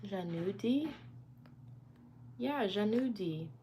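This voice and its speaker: A young woman talks loosely and chattily into her phone on a home live stream, answering viewers as their comments come in. Her pitch sits in a middling range. A faint hiss of ambient noise stays under her voice. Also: animated, slow pace, warm tone. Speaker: livestreamer. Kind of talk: casual live stream chat. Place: indoors at home.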